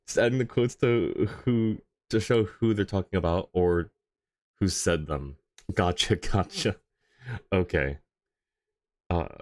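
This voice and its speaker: A man's voice: fairly low pitch, no echo. A man hosting a casual livestream, talking in an easygoing, conversational way as he answers chat. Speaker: livestream host.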